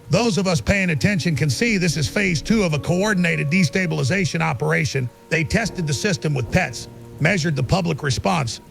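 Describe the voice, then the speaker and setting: A man playing a paranoid truth seeker phoning a radio show in an audio drama. His voice is high for a man and heavily gravelly, pressing his case with smug certainty. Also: fairly bright tone. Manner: insistent, conspiratorial, self-assured. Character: male conspiracy researcher, paranoid, smugly certain truth seeker. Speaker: caller. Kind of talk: talk radio call-in, radio drama.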